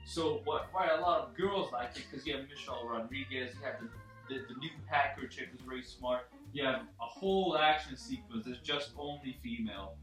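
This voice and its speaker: A man's voice: mid-range voice, brisk, fairly bright tone. A man explaining his opinions emphatically in a casual group film discussion. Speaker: film review panelist. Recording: echoey room, distant mic, music behind.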